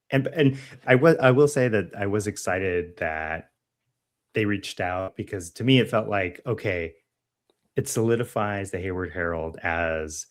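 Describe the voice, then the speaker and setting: Man, low voice, podcast co-host, hesitant, restarts, casual chat. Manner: hesitant, restarts, stumbles over names.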